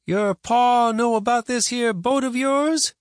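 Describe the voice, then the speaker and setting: A man's voice: extremely high pitch, slow pace, no room sound, clean studio sound, pitched above the performer's own voice. A man voicing a cranky, stubborn old frontier grandmother who grumbles and scolds in folksy rural speech for a storybook. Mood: scolding. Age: older.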